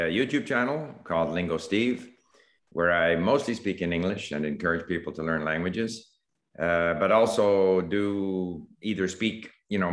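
Older man from Canada, deep voice, roomy. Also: fairly bright tone. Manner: measured, thoughtful, with fillers. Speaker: panel guest, language creator. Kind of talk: casual video call chat. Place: room at home. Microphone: video call.

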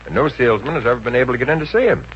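Actor as easygoing smart-aleck american boyfriend, old 50s radio show, animated, muffled with faint hiss. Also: male voice, medium pitch, rapid-fire, lightly acted. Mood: smitten, easygoing. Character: wisecracking, easygoing, lovestruck young boyfriend. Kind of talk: 1950s American radio comedy acting. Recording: muffled, noisy vintage radio recording.

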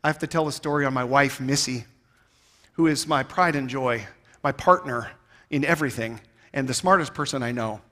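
A man in his thirties giving a keynote speech on stage, emphatic and rhetorical, swinging between loud and quiet phrases, on a headset mic. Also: medium pitch, normal speed. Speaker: keynote speaker. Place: stage of a large conference hall. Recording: reverberant large hall.

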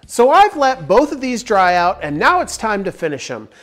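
A man's voice: high-pitched, big pitch swings, moderate pace, fairly bright tone. A man in his forties hosting a woodworking tutorial, explaining each step in an upbeat, step-by-step way from a large indoor workshop. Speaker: woodworking channel host.

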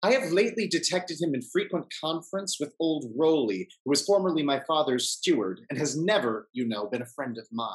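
A middle-aged man acting a smooth-talking, hypocritical gentleman in a period stage comedy, courtly and sententious. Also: fairly high pitch, animated, a little fast, hardly any pauses, lightly acted, poor audio. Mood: suspicious. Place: indoor room, performing on video call.

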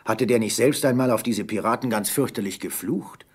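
Male narrator for adventure audio drama, low-pitched, husky, dramatic delivery. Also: moderate pace. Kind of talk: dramatic scripted story narration.

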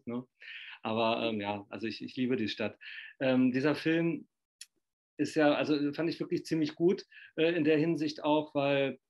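Rambling middle-aged male interviewer, dull audio. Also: medium pitch. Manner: rambling, hedging, many fillers and tag questions. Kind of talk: casual interview questions. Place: living room, indoors. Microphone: video call webcam.

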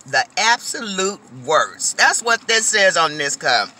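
A woman's voice: medium pitch, very animated, a little slow, sharp tone, slight background noise. A middle-aged woman food vlogger chatting animatedly to viewers while eating in a car, recorded on a dashboard phone camera. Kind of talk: casual eating vlog. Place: inside a car.

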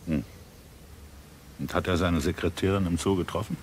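A composed intelligence chief acted by a man with a low voice. He probes briefly in radio-drama style, with slight noise behind him. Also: steady tone, moderate pace, lightly acted. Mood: probing. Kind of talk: German radio play acting. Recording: faint noise on old radio recording.

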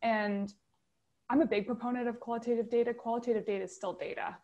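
A woman's voice: a little high, steady tone, fast, darkish tone, muffled recording. A woman speaking on a video call panel, explaining her view in an earnest, emphatic way that builds her points step by step. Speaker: panelist, data science manager.

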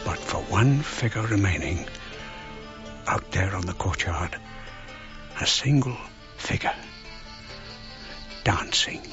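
An older actor playing a haunted old archaeologist, narrating his memories in measured, broken phrases for radio drama. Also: low-pitched, lively intonation, exaggerated voice. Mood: haunted, reflective. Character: old English archaeologist, haunted, wistfully recalling his past. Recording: dull radio recording, prominent music behind.

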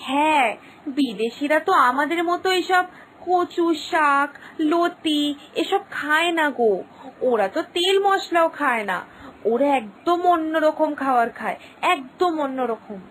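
A woman's voice: high-pitched, lively intonation, measured pace, a bit bright. A woman playing a gossipy village wife in a cartoon, chatty and sure of her advice. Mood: advising, gossipy. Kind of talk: cartoon story acting.

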